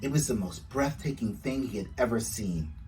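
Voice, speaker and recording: Expressive man reading a children's storybook. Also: mid-range voice, normal speed, recorded from a distance, soft background music, poor audio. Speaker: author reading own book aloud.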